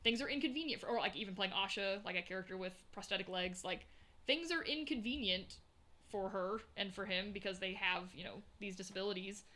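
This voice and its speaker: Bright-voiced Southern woman, chatty. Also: medium pitch, brisk. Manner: chatty, many "like" fillers, animated storytelling. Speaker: podcast co-host. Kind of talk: casual chat.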